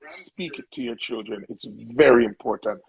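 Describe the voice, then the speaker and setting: A man's voice: mid-range voice, lively intonation, moderate pace, a little mellow, low-quality recording. A middle-aged man from Brooklyn with a Jamaican accent, giving conversational advice as an interview guest in an emphatic, preachy way. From Brooklyn, New York. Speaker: interview guest, musical elder.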